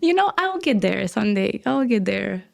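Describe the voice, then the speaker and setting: A woman guest chatting casually in an interview, laughing often and pausing with fillers. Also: mid-range voice, sing-song, vocal fry, a little mellow.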